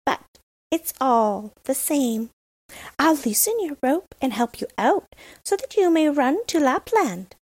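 Storybook robber girl, blunt and wild, high pitched, as a woman puts her on. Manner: blunt, brash, high and loud.

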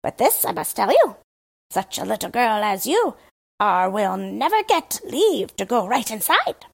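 A woman's voice: fairly high pitch, a little slow, gravelly, exaggerated voice. A woman voicing a chatty, boastful male crow in a storybook reading. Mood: thoughtful. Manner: lively, chattering and eager to gossip.

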